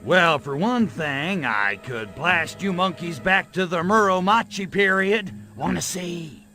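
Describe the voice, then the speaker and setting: A brash little alien invader from a video game. The voice is gravelly and bright, swinging widely in pitch as it sneers out slangy wisecracks. He is sarcastic and short-tempered. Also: lively intonation, a little slow, soft background music, heavily acted. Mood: boastful, threatening. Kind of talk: video game cutscene acting.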